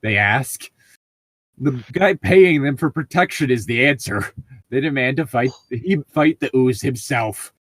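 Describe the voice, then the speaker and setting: A man's voice: medium pitch, animated, measured pace, a bit bright, husky, no echo. A man casually reading out and joking about tabletop gaming stories, amused and given to swearing. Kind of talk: casual group banter and story reading.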